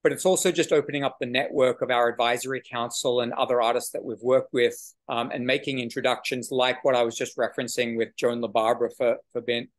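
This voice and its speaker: A man in his forties, the director of an arts venue, answering a question on a video call in a measured, slightly halting way. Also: mid-range voice, flat delivery. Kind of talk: panel conversation on a video call. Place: small room with brick wall. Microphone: video call webcam.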